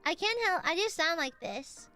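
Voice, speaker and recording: A high, bright woman's voice with a nasal edge, chatting casually to her stream audience. She rambles, giggles and swears offhandedly, and her pitch bounces all over the place. Soft music plays faintly underneath. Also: animated, moderate pace. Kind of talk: casual stream chat with viewers.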